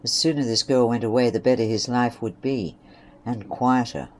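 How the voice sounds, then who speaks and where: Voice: medium pitch, moderate pace, a little mellow. A narrator reading scripted third-person story passages for an amateur western audio drama.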